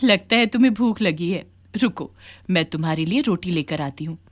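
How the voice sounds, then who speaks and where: A woman's voice: on the low side, animated, moderate pace. A woman voicing a kindly, hardworking village mother in a children's story, polite and affectionate. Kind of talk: acted dialogue in a children's moral story.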